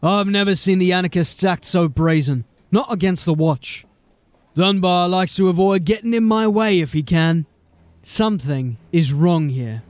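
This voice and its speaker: A man playing a stern, hard-bitten, commanding police sergeant in a dark fantasy audio drama. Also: high voice, measured pace, no room sound. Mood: resolute. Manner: curt, commanding.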